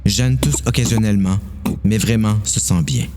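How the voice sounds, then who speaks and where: A man's voice: lowish voice, moderate pace, noisy background. A man with a Quebec French accent narrating a dramatised horror story.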